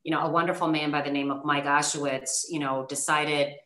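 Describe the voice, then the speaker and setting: Female panelist, banker. Deep for a woman, conversational storytelling, roomy echo on the recording. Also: fairly even intonation, brisk, fairly bright tone. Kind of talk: panel discussion talk, personal story.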